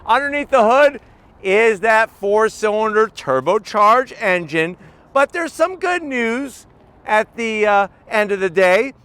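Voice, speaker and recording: A man's voice: high-pitched, expressive, slow pace, sharp tone, clear, resonant tone. A man hosting a walkaround car review outdoors, talking to camera in a punchy, colloquial way with rhetorical questions and catchphrases. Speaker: car review video host.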